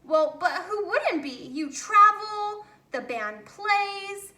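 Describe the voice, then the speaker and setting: A woman voicing an excitable, envious, self-doubting male bear in a children's storybook, exclaiming in a fretful, eager way. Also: very high voice, slow pace, pitched above the performer's own voice, full character voice. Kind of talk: children's storybook character reading. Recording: roomy, echoey home recording.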